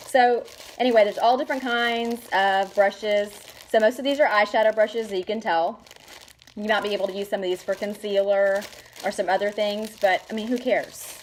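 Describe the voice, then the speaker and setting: A woman's voice: medium pitch, fairly even intonation, normal speed, a little hiss or hum, poor audio. A woman, chatting casually and gushing as she shows makeup products to the camera. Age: 40s. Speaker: makeup seller showing products. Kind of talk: casual product demo vlog.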